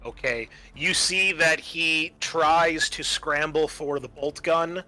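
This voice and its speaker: A man narrating as game master of a tabletop roleplaying game, explaining scenes and rulings with thoughtful pauses. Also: on the high side, pushed and bright. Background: music.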